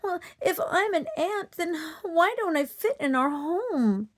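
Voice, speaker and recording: A woman's voice: very high voice, expressive, slow, pitched above the performer's own voice, heavily acted. A woman voicing a small, young female flying horse from a children's storybook, earnest and questioning. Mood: upset, puzzled. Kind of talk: children's storybook character reading.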